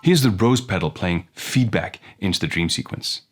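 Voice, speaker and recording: Male demo host, low register, casual narration, prominent music underneath. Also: expressive, normal speed. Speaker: effects pedal demo host. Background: electronic music and instrument sounds.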